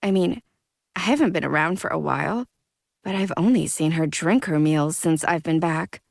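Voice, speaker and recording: A woman's voice: low-pitched, normal speed, creaky, no echo, clean studio sound. A woman doing a frank young vampire girl. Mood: concerned.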